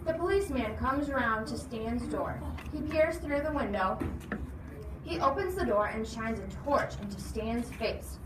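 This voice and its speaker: High-voiced woman reading aloud, echoey room. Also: lively intonation, unhurried. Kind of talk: expressive read-aloud narration with questions to listeners. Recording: echoey noisy room, distant mic.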